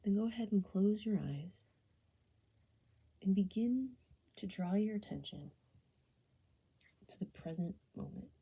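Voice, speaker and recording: A very husky, breathy woman leads a guided body scan. Her soft voice is very dark and mellow, with a gravelly creak at the edges. She gives gentle, unhurried instructions that often sink toward a whisper. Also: medium pitch, animated, dull audio. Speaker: meditation guide.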